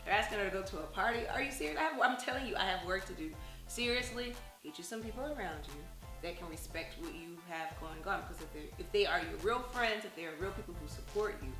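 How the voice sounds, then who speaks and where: A woman co-hosting a casual talk show, chatting animatedly and sometimes slipping into a soft, soothing voice. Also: mid-range voice, expressive, fast talker, echoey room, soft background music. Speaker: podcast co-host. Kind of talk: casual chat.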